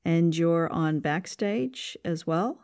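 A man interviewing a guest casually on a podcast, with halting, hesitant phrasing. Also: fairly high pitch, animated, a little mellow.

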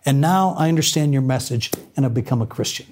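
A man with a voice of middle pitch speaks in a measured, earnest way. He talks in long, steady sentences, as in a broadcast interview.

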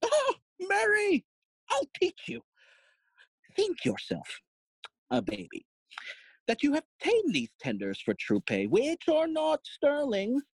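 Husky, long-winded old royal counsellor played by a woman, classical audio drama, very slow and halting, close dry sound. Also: high voice, huge pitch swings, a little mellow, no echo, exaggerated voice. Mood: probing, mocking. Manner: theatrical, halting, chuckling and humming between phrases.

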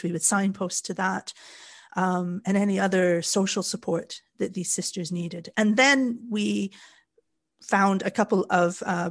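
A middle-aged woman from Canada with a Canadian accent, co-hosting a podcast in a measured storytelling way with frequent fillers. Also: medium pitch. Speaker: podcast co-host. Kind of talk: casual podcast chat.

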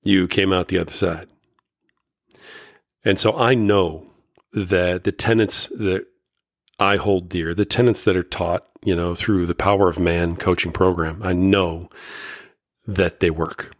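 Middle-aged male podcast host, deep voice, dry close sound, slight rasp, folksy. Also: fairly even intonation, unhurried, long pauses, dry recording. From Indiana, USA. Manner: folksy, earnest, many fillers and restarts. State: slightly raspy voice. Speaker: podcast host and life coach. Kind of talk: casual solo podcast talk.

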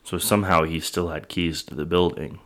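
A man with a low-pitched voice co-hosts a true crime podcast. He chats casually and reacts to the story, tentatively working out his thoughts as he speaks. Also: fairly even intonation, moderate pace, crowd noise. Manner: tentative, thinking aloud.

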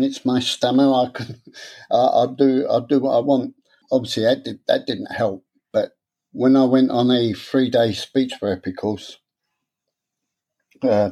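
A man, talking candidly in an interview, halting and repeating words as he speaks. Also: mid-range voice, mellow. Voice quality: stammer. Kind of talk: personal interview.

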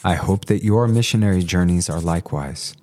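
A man's voice: deep voice, a little fast, darkish tone, natural voice. A man playing a devoted, plain-spoken apostle and younger brother in a Bible audio drama. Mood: fervent.

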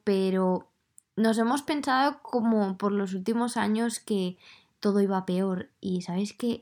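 Chatty woman with a Madrid accent. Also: medium pitch. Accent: Madrid Spanish, slightly softened. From Madrid, Spain. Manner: chatty, conversational, addresses listeners directly. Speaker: podcast host. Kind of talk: casual solo podcast monologue.

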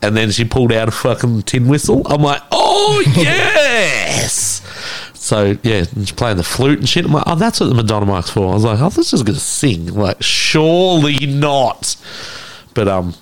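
Man, Australian accent, podcast host; lively swinging pitch, sweary laughing storyteller. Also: moderate pace. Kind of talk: casual chat.

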